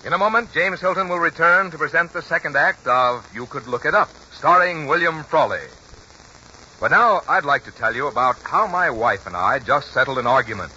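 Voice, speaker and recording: A man's voice: on the high side, expressive, normal speed, sharp tone. A man announcing and delivering a scripted sponsor message on a 1940s radio broadcast. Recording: dull, noisy 1940s radio recording.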